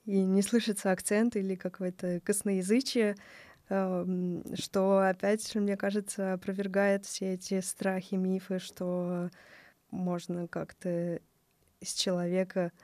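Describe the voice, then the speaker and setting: A woman host gently asking guests questions. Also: medium pitch, level tone, a little slow, no room sound. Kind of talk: interviewing guests.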